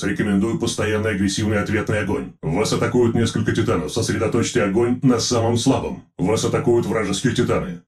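Guy voicing a calm heavy war robot from a game, clipped steady status calls, lowish pitch. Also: lightly acted. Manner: clipped, steady military reports. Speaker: titan AI voice. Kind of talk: game voice lines.

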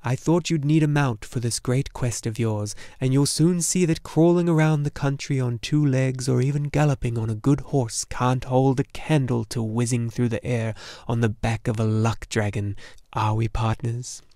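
A man's voice: mid-range voice, fairly even intonation, normal speed, dark tone, dry recording, studio quality, lightly acted. A man voicing a cheerful, hopeful male luck dragon with a buoyant, upbeat delivery.

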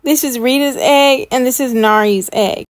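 High-pitched, enthusiastic young-sounding woman vlogger. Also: moderate pace. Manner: enthusiastic, gushing. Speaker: gaming YouTuber. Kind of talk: chatty gameplay unboxing commentary.

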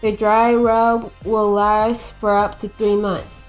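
Flat-voiced woman reading a spice recipe. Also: mid-range voice, takes it slowly, faint music behind, poor audio. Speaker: family member demonstrating a recipe.

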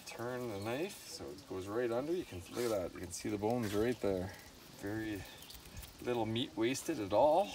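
A middle-aged man giving a relaxed, hands-on fish filleting demonstration at an outdoor cleaning table, talking as he works. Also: on the low side, recorded from a distance, background noise. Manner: relaxed, talks while working, repeats "see that". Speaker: fishing video host.

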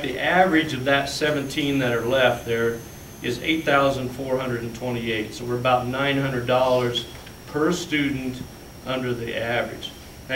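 Man, plainspoken budget presenter, distant room camera, echoey. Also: medium pitch. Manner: plainspoken, walks listeners through handouts step by step. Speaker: school business official presenting budget. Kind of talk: explaining figures at a school board meeting. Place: large meeting room with fluorescent lights. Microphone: far room camera. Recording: echoey room, distant mic, faint hum.

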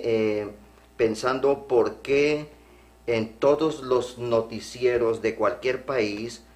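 A middle-aged man from Colombia, a guest in a TV studio interview, talking earnestly with restarts on a lapel mic. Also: medium pitch. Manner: earnest, gestures, restarts phrases. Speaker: program guest. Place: TV studio set.

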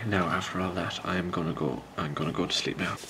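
A man's voice: sits low, fairly even intonation, fast, very noisy. A man in his twenties with an Irish accent, talking to camera in a casual, self-mocking adventure vlog. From Ireland. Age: 20s. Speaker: vlogger.

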